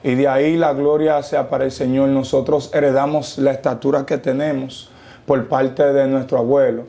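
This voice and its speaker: Dominican man with a gravelly, mellow voice. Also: medium pitch, little pitch movement. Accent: Dominican Spanish. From Dominican Republic. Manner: preacherly, quotes scripture, slow emphatic pauses. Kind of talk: testimony interview.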